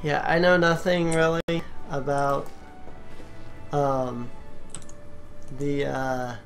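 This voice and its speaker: Creaky slow-talking gamer doing a casual let's play, kinda halting, game music behind. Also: medium pitch. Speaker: host of a gaming video, player.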